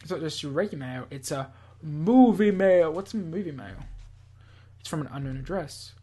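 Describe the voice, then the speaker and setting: Slightly husky gaming host reading aloud. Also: medium pitch, animated, moderate pace, dark tone. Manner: stumbles over words, self-correcting, playful. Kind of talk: reading a visual novel aloud with asides.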